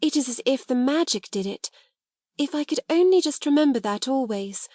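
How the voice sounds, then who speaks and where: Storybook girl, acted by a woman narrator: imaginative, kind, high and breathlessly excitable, close dry sound. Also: moderate pace, no echo. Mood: cheerful wonder. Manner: breathless, exclaiming.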